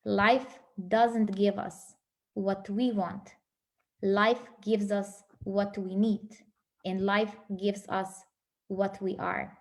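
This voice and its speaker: A woman giving a motivational speech, earnest, with pauses between phrases. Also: mid-range voice, level tone. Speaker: motivational speaker.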